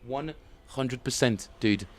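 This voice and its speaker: A man with a French accent, chatting casually and self-mockingly with viewers as he streams his bass practice, often chuckling. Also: slow pace. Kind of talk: casual livestream chat.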